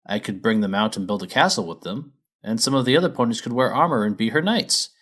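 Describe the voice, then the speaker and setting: A man's voice: on the low side, lively intonation, fast, a bit bright. A man as a lazy, earnest storybook dragon. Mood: agreeable. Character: lazy, good-hearted young male dragon. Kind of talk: storybook character voice.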